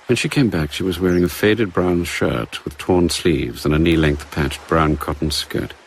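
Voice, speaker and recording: An actor playing the grave narrator of a dramatised spy novel, measured and literary in his delivery. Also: low voice, slightly rough. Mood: grave, observant. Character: grave male storybook narrator of a spy thriller.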